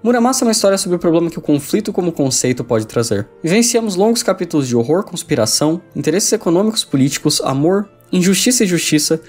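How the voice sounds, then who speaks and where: A man's voice: on the high side, big pitch swings, fast talker, hardly any pauses, a little mellow. A man with a Brazilian accent reading a scripted review as a video essay narrator. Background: background music.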